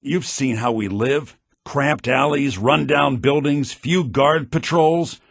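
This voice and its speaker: An actor voicing shrewd, wry men of a fantasy video game, such as merchants and mages, in a sly, dry, theatrically expressive manner. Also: male voice, takes it slowly, gravelly, no room sound. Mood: earnest, aggrieved. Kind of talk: scripted video game character lines. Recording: low-bitrate game audio rip.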